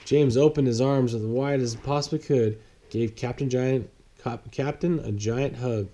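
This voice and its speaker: A man's voice: medium pitch, steady tone, normal speed, warm tone. A man reading a children's picture book aloud as a bedtime story.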